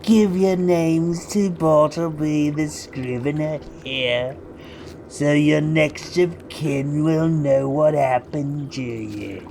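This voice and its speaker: A man's voice: mid-range voice, vocal fry, darkish tone, a little hiss or hum, pitched above the performer's own voice. A man voicing a cruel, darkly armored noble in an audio drama, with a pompous, mock-gracious and menacing delivery. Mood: smug threatening. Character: darkly armored noble, sneering, bellowing, cruel male authority. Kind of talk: scripted audio drama acting.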